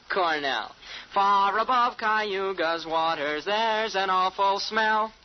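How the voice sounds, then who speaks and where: A woman's voice: medium pitch, slow, lightly acted. A woman playing a brash, slangy, teasing teenage boy in an old-time radio drama. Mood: boisterous. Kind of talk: 1940s radio acting. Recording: muffled old radio recording.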